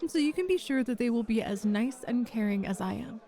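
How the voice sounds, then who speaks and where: A woman playing a kind, flirty female bar manager in an ASMR roleplay, gently reassuring and encouraging a nervous job applicant. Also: a little high, lively intonation, brisk. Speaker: voice actor in an audio roleplay. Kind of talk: ASMR roleplay, one-sided conversation.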